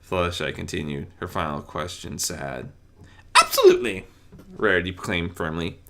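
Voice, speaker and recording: A man reading a fan story aloud with expressive, dramatic narration, now and then stumbling over words. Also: low voice, moderate pace. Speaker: amateur story reader.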